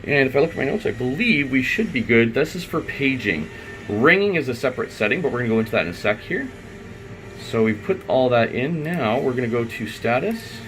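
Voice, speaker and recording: A man's voice: medium pitch, big pitch swings, brisk, a bit bright, faint music behind, low-quality recording. A man giving an informal, unscripted step-by-step tutorial, thinking aloud as he works. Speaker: IT installer giving a software tutorial. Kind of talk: unscripted step-by-step screen walkthrough.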